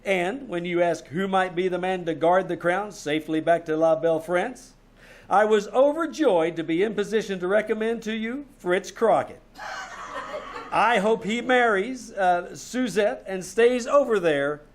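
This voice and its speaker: A man's voice: sits high, unhurried. A man playing a wry, hard-boiled private detective with a dry, sardonic delivery in a live radio drama. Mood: wry report. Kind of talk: live old-time radio drama acting.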